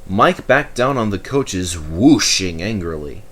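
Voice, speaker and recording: A man's voice: sits low, animated, slow pace, fairly bright tone. A man narrating a children's storybook expressively as its reader.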